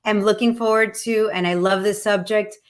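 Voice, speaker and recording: A woman host from Cuba, bubbly and playful. Her voice sits at an ordinary middle pitch. She laughs easily through her casual talk. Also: fairly even intonation, normal speed. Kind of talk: casual chat.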